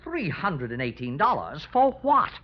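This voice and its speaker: An actor playing a fussy, exasperated insurance company boss in an old radio drama, flustered and indignant. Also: male voice, a little high, huge pitch swings, unhurried. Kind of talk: 1940s radio acting. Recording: muffled vintage radio recording.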